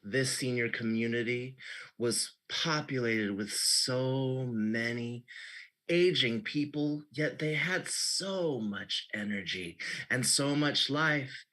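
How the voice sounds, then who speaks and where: A man narrating an improv scene opening in a deliberate, mock-poetic way. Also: mid-range voice, sharp tone. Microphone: video call.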